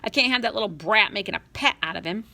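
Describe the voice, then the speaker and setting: A woman voicing an angry, stubborn young boy who yells in a shrill voice. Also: animated, quick pace. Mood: defiant. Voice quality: shrill yelling.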